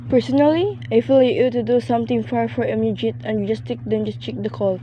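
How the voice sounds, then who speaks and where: A woman's voice: on the high side, steady tone, fairly quick, a little hiss or hum, natural voice. Woman acting a fretful, imperious housewife, muffled sound. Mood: demanding, exasperated. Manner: indignant, insistent, fussy. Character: anxious, imperious invalid housewife, fretful and demanding. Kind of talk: radio play acting.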